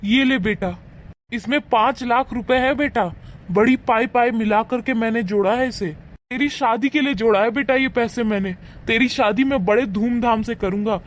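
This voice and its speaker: A man voicing a poor old village farmer, a caring but wary father, in an animated moral story. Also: extremely high pitch, normal speed. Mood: proud.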